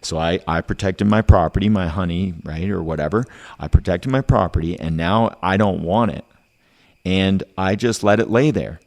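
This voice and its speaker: A man's voice: deep voice, normal speed, creaky, a little mellow. A man hosting a podcast in casual discussion, working through points with rhetorical questions and frequent checks for agreement. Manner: rhetorical questions, tag "right?". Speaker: podcast host.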